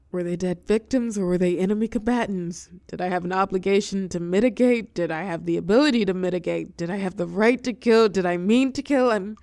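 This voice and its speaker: A woman playing a weary telepath supervillain and fallen hero, tender yet menacing, in an intimate audio roleplay with dramatic pauses. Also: mid-range voice, darkish tone, no echo, close to an everyday voice. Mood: bitter, wry. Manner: soothing pet names, wry bitterness, dramatic pauses, drops into whispers.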